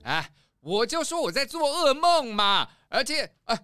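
A woman's voice: high voice, sing-song, a little slow, a bit bright, no room sound, faint music behind, heavily acted. A woman voicing a boastful, defensive ship's captain in a children's audio drama, blustering and self-righteous.

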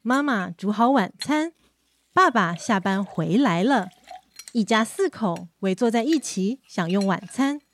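Lively, animated woman narrator. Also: medium pitch, unhurried. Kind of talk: scripted story narration.

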